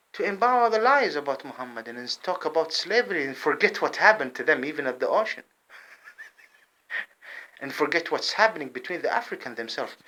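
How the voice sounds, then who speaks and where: A man delivering an impassioned monologue to camera, heated and repetitive, swinging between loud outbursts and low muttering. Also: poor audio.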